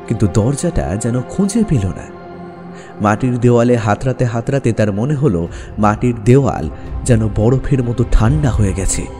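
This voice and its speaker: A man narrating a scripted horror story dramatically, with suspenseful pauses. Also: medium pitch.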